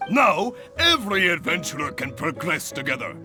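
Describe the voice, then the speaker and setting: A theatrical male guild leader in a fantasy game. His high voice swings wildly with grandiose, hearty enthusiasm, and music plays clearly behind him. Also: big pitch swings, moderate pace. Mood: proud, enthusiastic.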